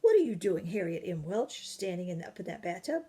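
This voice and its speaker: A fierce, brisk older nanny scolds with no patience for nonsense, as a woman reading a storybook puts her on. Her words come clipped and firm. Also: on the low side, sing-song, dark tone, husky. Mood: scolding.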